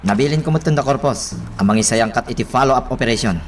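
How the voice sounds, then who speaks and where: Man acting a fast-talking junior policeman. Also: mid-range voice, a little hiss or hum, natural voice. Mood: dutiful, brisk. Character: dutiful, brisk junior policeman. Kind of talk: radio drama acting.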